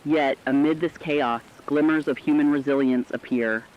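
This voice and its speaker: A man playing an earnest radio announcer, reading a scripted emergency broadcast in a measured manner. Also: a little high, steady tone, natural voice. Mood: earnest, urgent. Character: earnest male radio announcer warning survivors of catastrophe. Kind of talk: scripted fictional radio news narration. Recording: dull lo-fi sound, rain-like noise.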